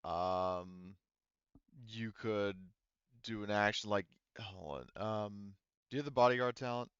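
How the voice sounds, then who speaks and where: A man acting as game master of a tabletop roleplaying game, explaining rules haltingly as he thinks aloud. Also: fairly low pitch, smooth, no room sound, lo-fi sound.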